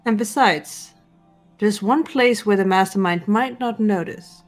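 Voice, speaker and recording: A woman playing a composed, serious teenage girl in an anime game fan dub, speaking in a measured, deliberate way. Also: medium pitch, soft background music, lightly acted. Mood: calm, cautious. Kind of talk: visual novel fan dub voice acting.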